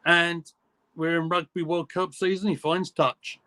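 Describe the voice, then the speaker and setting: Higher-voiced fan calling a match. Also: male voice, level tone, pushed and bright. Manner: brisk, clipped match calls. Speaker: fan commentator. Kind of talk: live play-by-play watchalong commentary.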